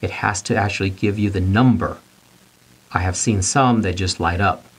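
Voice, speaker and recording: A middle-aged man with a low, husky voice teaches HVAC basics to camera. He talks plainly and repeats the key points. He asks questions and answers them himself. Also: moderate pace. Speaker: HVAC instructor. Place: studio in front of a whiteboard.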